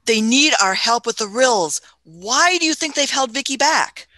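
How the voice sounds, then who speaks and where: Woman playing eccentric elderly lady adventurer, bright voice, smug, fussy, muttering, radio play, close dry sound. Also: fairly high pitch, animated, moderate pace, dry recording. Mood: grave musing. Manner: hesitant, muttering, chuckling old-fashioned speech. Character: elderly eccentric female time traveler, smug, fussy, affectionate.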